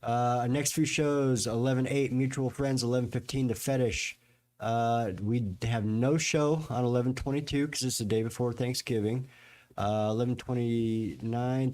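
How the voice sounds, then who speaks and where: A male podcast host with a voice of ordinary middle pitch, talking in a loose, easygoing way. He reads through listener comments and upcoming show dates with little chuckles along the way. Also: a little mellow. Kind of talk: casual chat.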